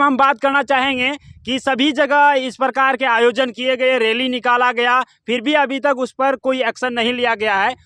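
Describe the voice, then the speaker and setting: A man's voice: very high voice, pushed and bright, clear, resonant tone, no echo, clean studio sound. A man, reporting and interviewing on location as a field news reporter. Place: outdoor public ground.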